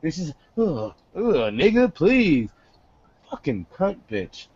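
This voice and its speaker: Brief: young New man, video-call host, lively and foul-mouthed, dry lo-fi headset sound. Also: slow pace, slightly rough, no echo. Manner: animated and profane, swings between low and high voice. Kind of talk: casual chat on a video call. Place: small home room indoors.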